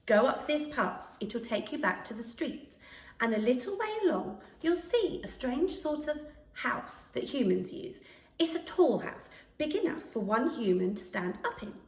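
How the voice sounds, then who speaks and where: A woman acts out a grown male hedgehog in a children's tale, patient and courteous as he instructs. The delivery is very varied in pitch, in an echoey room and slightly off the microphone. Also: very animated, unhurried. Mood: helpful, instructing. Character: helpful, unhurried grown male stranger hedgehog. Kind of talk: children's storybook character voice. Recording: roomy, distant microphone.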